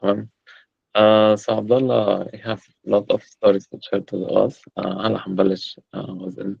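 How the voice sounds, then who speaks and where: A man's voice: fairly low pitch, flat delivery, normal speed, vocal fry, husky, dry recording. A man hosting a casual online chat, hesitant, with many filler sounds. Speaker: host, community organizer. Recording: dull lo-fi call audio.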